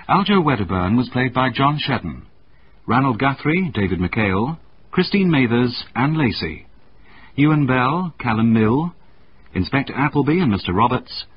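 A man's voice: mid-range voice, sing-song, normal speed, husky. A man reading out scripted credits as a radio drama announcer. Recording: muffled radio broadcast, faint noise.

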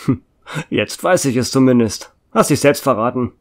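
A man's voice: mid-range voice, animated, moderate pace, natural voice. A man playing a weary, sardonic small-town lawman with a dry, sarcastic manner in an audio drama. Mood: businesslike.

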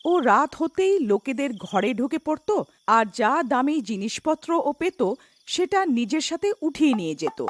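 A woman's voice: high voice, big pitch swings, measured pace, no echo. A woman narrating a scripted cartoon story as its narrator.

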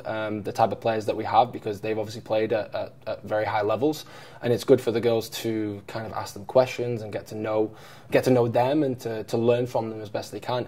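A man's voice: lowish voice, warm tone. A man in his thirties, a head coach reflecting on a match at a press conference, with many fillers and restarts. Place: press room in front of a sponsor backdrop.

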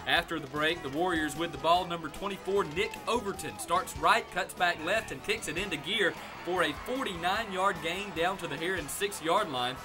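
A man with an American accent reading scripted sports highlight narration in an emphatic announcer style. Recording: voice-over with music behind. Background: music.

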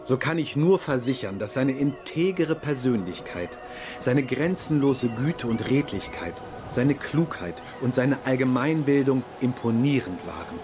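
A man's voice: medium pitch, lively intonation, measured pace, music in the background, natural voice. A man playing a reverent, devoted courtier in a radio play, giving lofty and effusive testimony. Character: reverent, devoted male admirer of the Kaiser.

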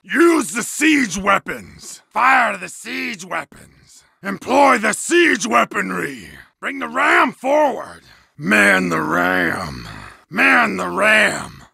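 Gravelly middle-aged berserker warrior yelling orders in a game, high strained bellow, slow heavy delivery. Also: male voice, big pitch swings, no echo, studio quality, exaggerated voice. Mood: barked orders. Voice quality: gravelly, raspy roar. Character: savage bearded medieval berserker warrior, bloodthirsty. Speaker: game soldier character. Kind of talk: barked battle commands.

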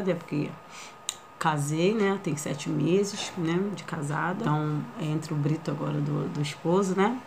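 A woman's voice: lowish voice, darkish tone, slight background noise. A woman in her thirties with a Rio de Janeiro accent, introducing herself in a hesitant, shy way, with many fillers and tag questions. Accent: Rio de Janeiro Portuguese. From Brazil. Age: 30s. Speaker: vlogger. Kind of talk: casual first-vlog introduction. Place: small living room indoors.

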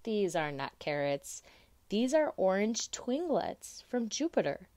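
A woman's voice: medium pitch, very animated, takes it slowly, mellow, no echo. A woman voicing a crafty young boy, an older brother coaxing with assured explanations in a picture-book story.

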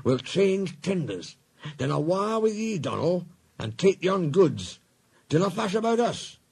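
Man acting a bossy, animated Scottish engine driver. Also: high voice, unhurried, gravelly, breathy edge, voice pushed up, heavily acted. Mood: urgent. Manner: urgent, decisive.

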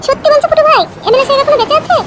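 Cartoon wife: extremely shrill, petulant, very fast-talking, comic dub on a noisy recording. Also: female voice. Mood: curious, surprised. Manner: whiny, pouting, petulant. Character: sulky childish cartoon wife begging for a sari. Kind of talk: exaggerated comic cartoon dubbing.

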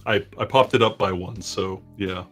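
A middle-aged man hosts a casual livestream in a low voice, artificially deepened by a voice modulator. Faint music plays behind him. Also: normal speed, a bit bright. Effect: voice modulator pitching the voice down. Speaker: charity stream host. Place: indoor room, livestream from a seat.

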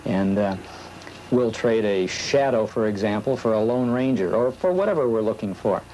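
A man in his thirties chatting casually in an interview, halting and a little self-deprecating. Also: fairly low pitch, lively intonation, warm tone. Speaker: old radio program collector being interviewed. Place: small office, indoors. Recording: slightly noisy old videotape.

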